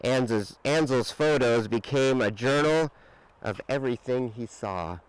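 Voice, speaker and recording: A man reading a children's picture book aloud with expressive, dramatic emphasis and drawn-out words. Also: mid-range voice, clean tone, low-quality recording. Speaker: storybook reader.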